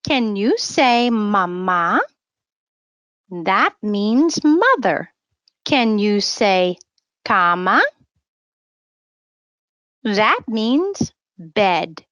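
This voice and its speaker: A woman reading a bilingual children's story aloud in a teacherly way, with simple, deliberate phrasing. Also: medium pitch, big pitch swings, fairly bright tone, no echo. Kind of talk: read-aloud bilingual children's story with word-repeat prompts.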